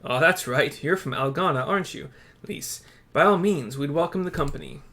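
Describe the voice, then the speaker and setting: A man's voice: on the high side, moderate pace, bright, forceful tone. A man voicing a friendly, earnest veteran resistance soldier from a fantasy game. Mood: welcoming.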